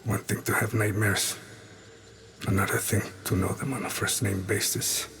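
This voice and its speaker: An actor playing a weary exiled assassin in a game, narrating his confession in a somber, measured, brooding way. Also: on the low side, flat delivery, fairly bright tone, a little hiss or hum. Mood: haunted, grim. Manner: somber, measured, haunted, trailing into breathy murmurs. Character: haunted exiled male assassin, weary, brooding, quietly resolute.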